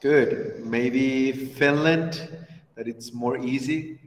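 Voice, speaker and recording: A man's voice: mid-range voice, steady tone, drawn-out words, roomy sound, big-room sound, poor audio. A Mexican man in his thirties with a Mexican accent, an English teacher giving an online class with patient encouragement. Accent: Mexican Spanish. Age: 30s. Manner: patient, encouraging, switches to Spanish to explain. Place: home room on a video call. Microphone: video call.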